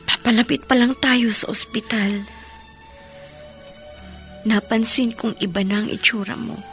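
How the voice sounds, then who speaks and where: A caring younger sister-in-law in a radio drama. Her voice is fairly high and hushed, earnestly pressing, with soft music behind. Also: fairly even intonation, measured pace. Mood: worried, pressing. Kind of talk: Filipino radio drama acting.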